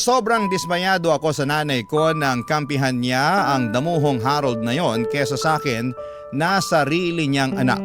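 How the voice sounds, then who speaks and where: A man with a Filipino accent reading dramatic scripted first-person narration as a radio-style storyteller. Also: on the high side. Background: loud music.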